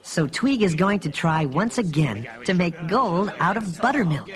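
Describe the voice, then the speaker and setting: A kindly young bear storyteller, unhurried and full of lilt. He is gentle and patient, explaining things to children with loud music underneath. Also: high voice, expressive.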